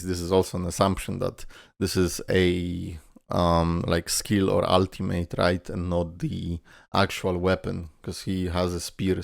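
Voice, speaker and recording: A man giving casual reaction commentary, rambling as he thinks out loud. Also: deep voice, gravelly, dry recording, studio quality. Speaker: reaction video host.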